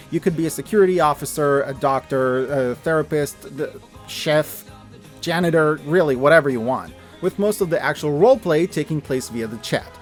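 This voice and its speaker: A man hosting a review video, narrating scripted recommendations in a casual, enthusiastic, emphatic way with mild swearing. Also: a little high.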